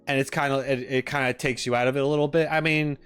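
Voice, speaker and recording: Man, average pitch, live streamer, informal rambling chat, joking asides, faint background music. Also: fairly even intonation, fast, a bit bright, clean tone. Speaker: live streamer reacting and chatting with viewers. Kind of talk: casual livestream chat.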